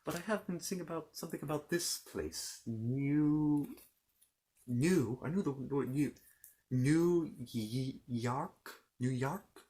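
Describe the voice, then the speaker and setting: A man's voice: a little high, warm tone. A man playing a polite, bumbling, bookish fantasy knight in an ASMR roleplay, stammering and apologetic as he chats. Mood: fascinated, puzzled. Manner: halting, stammering, apologetic, chatty. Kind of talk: improvised ASMR character roleplay.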